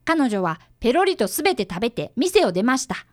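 Radio drama boy detective, about ten, played by a woman, earnest and precise, lively pitch, dry studio sound. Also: a little fast, dry recording. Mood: narrating. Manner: polite, precise, boyish. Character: earnest, bright fifth-grade boy, apprentice detective. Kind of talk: radio drama dialogue and first-person narration.

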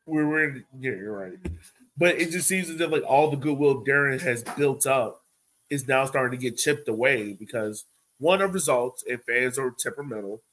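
A man's voice: medium pitch, moderate pace, fairly bright tone, slight background noise, low-quality recording. A man co-hosting a sports podcast, talking casually and emphatically, pressing his point by repeating it. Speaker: podcast host.

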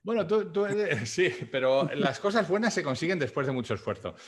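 A man hosting a podcast, chatty and energetic as he introduces his guest. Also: fairly high pitch, brisk, faint music behind. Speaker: podcast host. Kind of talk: casual interview hosting.

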